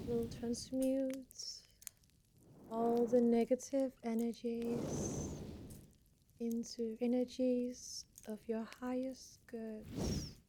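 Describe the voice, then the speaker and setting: A soft-spoken woman leading an ASMR energy-healing meditation in a soothing, deliberate manner. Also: on the high side, noisy background. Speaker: ASMR Reiki healer, host.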